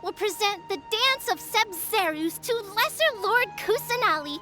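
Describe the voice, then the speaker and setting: A woman voicing a small, haughty, theatrical spirit creature with a squeaky voice in a video game. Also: lively intonation, a little slow. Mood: proud. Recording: game music behind the voice.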